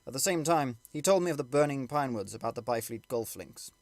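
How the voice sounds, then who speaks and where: A man's voice: mid-range voice, expressive, quick pace, darkish tone, no echo. Male audiobook narrator, very dry close sound. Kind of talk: scripted literary narration.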